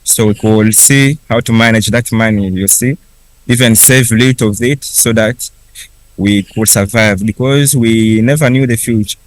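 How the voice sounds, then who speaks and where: A young man whose first language is Kiswahili, telling his personal story slowly in English, with pauses and restarts. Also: lowish voice, dry recording, poor audio. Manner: unhurried, with frequent restarts and pauses. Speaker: refugee speaker at a conference.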